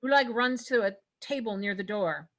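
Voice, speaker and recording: A woman's voice: mid-range voice, moderate pace, fairly bright tone. A woman reading stage directions as narrator in a scripted fantasy table read.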